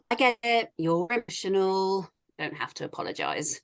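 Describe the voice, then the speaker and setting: A woman's voice: medium pitch, wildly swooping pitch, measured pace, creaky, full character voice. A woman reading the part of a defiant, sarcastic middle-aged daughter in a drama script, with a dismissive manner. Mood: dismissive, mocking. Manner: sardonic, dismissive. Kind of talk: script read-through.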